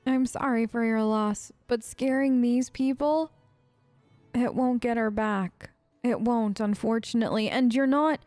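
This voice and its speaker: A woman gamer talks through a role-playing game in character. Her voice is fairly high and recorded very dry, and she is chatty and expressive in her reactions. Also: no echo. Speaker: gamer, let's player.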